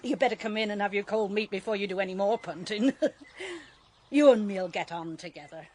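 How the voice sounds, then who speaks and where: A woman's voice: mid-range voice, a little fast, darkish tone, lightly acted. An actress playing a kindly, plain-spoken old country landlady in a radio drama, with an English accent and a homely, good-humoured, matter-of-fact manner. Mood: amused. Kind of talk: naturalistic radio drama acting.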